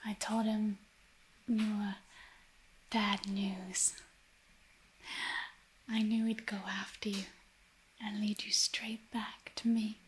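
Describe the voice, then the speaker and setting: A woman playing a scheming, seductive femme fatale in an ASMR noir radio drama, speaking in a soft, breathy, coaxing whisper. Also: medium pitch, level tone, natural voice, low-quality recording. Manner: slow, intimate, coaxing.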